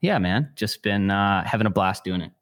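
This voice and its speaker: A man's voice: on the low side, lively intonation, moderate pace, a bit bright. A man, a church location pastor, chatting easily and joking as a podcast guest. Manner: easygoing, joking. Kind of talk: casual podcast chat.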